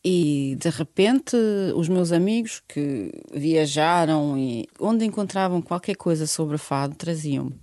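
A woman's voice: low-pitched, animated, takes it slowly, few pauses, warm tone, no room sound, studio quality. A middle-aged woman from Bosnia, speaking a learned earnestly and searching for words in a radio interview. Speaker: guest singer.

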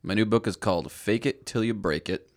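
A teenage boy with a low, gravelly voice joins a casual podcast as a guest. He is laid-back and teases the host. The recording is dry and close. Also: a little fast, no echo.

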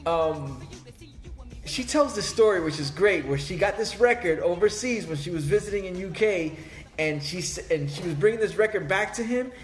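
A man's voice: sits high, lively intonation, normal speed, echoey room. A man chatting casually about hip hop films and music as a podcast host, laid-back and full of fillers.

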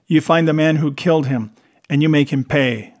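Male narrator as grieving mother, bitter, forceful, demanding, raised pitch, audiobook drama. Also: moderate pace, natural voice. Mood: bitter grief.

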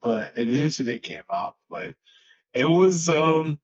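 A man from Haiti in his twenties, a guest musician speaking haltingly and searching for words in a casual interview. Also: a little high, animated, vocal fry. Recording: dull lo-fi sound.

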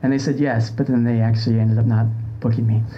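A man's voice: medium pitch, little pitch movement, quick pace, dark tone. An American man hosting a podcast, bantering wryly in casual comedic chat. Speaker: podcast host. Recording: muffled audio with background noise.

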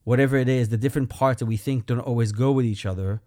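A man from Belgium with a Belgian accent, a rabbi hosting his show and talking earnestly and personally, with gentle humor. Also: mid-range voice, fairly even intonation, fast talker, no room sound, studio quality. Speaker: rabbi and podcast host. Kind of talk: reflective solo talk.

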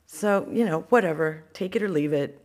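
A woman's voice: fairly low pitch, moderate pace, darkish tone. A woman co-hosting a casual advice show, talking in a blunt, emphatic, exclamatory way with strong opinions.

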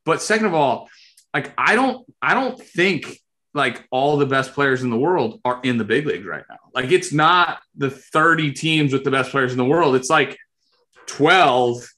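A man's voice: mid-range voice, expressive, a little slow, sharp tone. A man as a podcast guest, talking loosely and jokingly with lots of filler words. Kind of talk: casual podcast chat.

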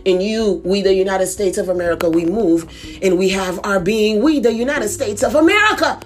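A woman's voice: mid-range voice, normal speed, faint music behind. A woman leading a fervent intercessory prayer, declaiming in an impassioned way with repeated refrains. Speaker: prayer leader.

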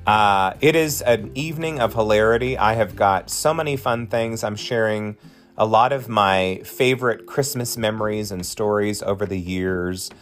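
A man in his late forties with a lowish voice, chatting warmly and effusively to his podcast listeners. He keeps it casual and encouraging, and soft guitar music plays faintly behind him. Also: normal speed. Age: 40s. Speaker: podcast host and drag performer.

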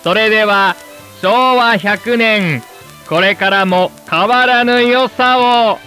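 Man playing a slow, high-pitched grandiose TV announcer. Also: sharp tone, heavily acted. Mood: showy, rousing. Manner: grandiose, emphatic, drawn-out phrasing. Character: rousing male TV host of a Showa-era live special. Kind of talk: formal showy broadcast announcing. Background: background music.

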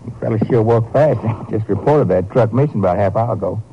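A man acts out a glib American rancher with a prison past, folksy and drawling. His voice is lowish, heard through the hiss and muffled tone of an old radio drama. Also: quick pace, close to an everyday voice. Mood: affable. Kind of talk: 1950s radio drama acting. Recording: noisy, muffled 1950s radio recording.

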